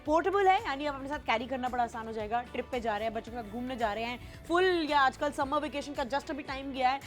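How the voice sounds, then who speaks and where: A woman presenting a TV shopping segment, chatting playfully and persuasively in a mix of Hindi and English. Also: sits high. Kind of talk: chatty sales pitch mixing Hindi and English.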